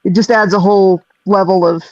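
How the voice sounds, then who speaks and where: Low-voiced woman rambling on a call. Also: steady tone, fairly quick, a little mellow, no echo, dull audio. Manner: rambling, restarts, self-deprecating laughs. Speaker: singer and sound technician. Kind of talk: casual group video-call chat.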